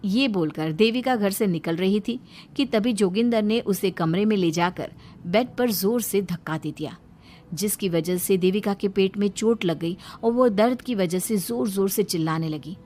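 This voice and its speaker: A woman's voice: medium pitch, moderate pace, warm tone. A woman reading a scripted dramatic story narration for a cartoon.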